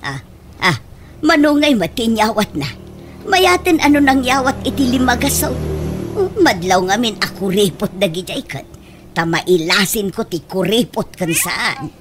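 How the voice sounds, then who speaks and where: An actress playing an envious, scheming, gossipy village woman in an Ilocano comedy radio drama, with exclamatory, drawn-out theatrical reactions. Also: mid-range voice, animated, over music, close to an everyday voice. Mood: nosy, probing.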